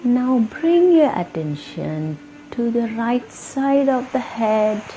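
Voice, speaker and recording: A woman leading a guided relaxation meditation, giving soothing, gentle instructions with long pauses between phrases. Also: a little high, animated, a little hiss or hum, poor audio. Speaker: yoga meditation guide and channel host. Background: soft instrumental music.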